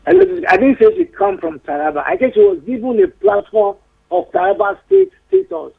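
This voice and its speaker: A man's voice: extremely high pitch, huge pitch swings, fairly quick, vocal fry, husky. A man with a Yoruba Nigerian accent calling in to a talk show, arguing his point insistently and repeating himself. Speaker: caller. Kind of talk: phone-in opinion. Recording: tinny phone line.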